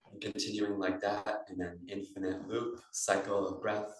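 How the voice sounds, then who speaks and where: A man with a low voice guides a meditation class as a yoga teacher. His delivery stays even, in measured phrases with pauses. He sounds distant from the mic, in a heavily echoing room. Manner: measured phrasing with pauses, instructing breath and posture. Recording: echoey room, distant microphone.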